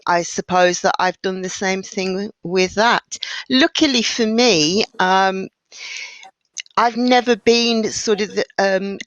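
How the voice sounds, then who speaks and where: A woman's voice: medium pitch, fairly bright tone, dry recording, low-quality recording. A woman guest on a panel, speaking hesitantly and reflectively about coping. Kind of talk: panel discussion.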